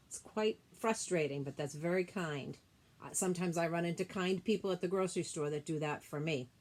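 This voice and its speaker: A woman's voice: fairly low pitch, darkish tone. A woman reading a picture book aloud to young children, explaining the illustrations in simple, informal phrases.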